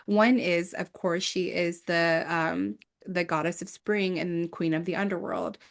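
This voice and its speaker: Slightly low-voiced woman, earnest and reflective. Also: steady tone, unhurried, creaky. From South Korea and the United States. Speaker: guest, Persephone devotee. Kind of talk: reflective interview conversation.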